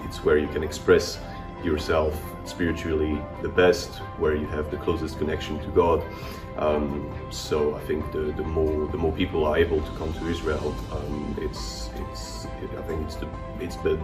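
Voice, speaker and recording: A man's voice: extremely low pitch, level tone, moderate pace, few pauses, distant mic. A young man from Switzerland, raised in Singapore, chatting relaxedly in an interview with fillers and repeats. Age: young adult. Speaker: interviewee, new immigrant. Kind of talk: casual interview answers. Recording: roomy, off-mic, with music.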